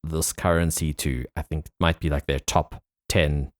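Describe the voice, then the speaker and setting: A man hosting a podcast, talking in a casual, reflective, informal way with a husky voice. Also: very deep voice, steady tone, a little slow, no room sound, clean studio sound. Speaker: podcast host. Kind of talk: casual conversational interview.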